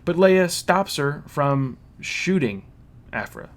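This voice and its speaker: A man casually recapping a story as a reviewer, with an animated manner and mocking asides. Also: fairly high pitch, slow. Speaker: reviewer hosting a fan recap. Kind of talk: casual spoken plot recap and commentary.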